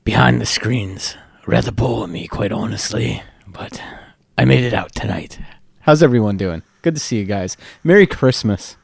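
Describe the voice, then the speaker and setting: A man guesting on a podcast, chatting casually with teasing, deadpan humor. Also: lowish voice, moderate pace, creaky, husky, no room sound, studio quality. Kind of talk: casual chat.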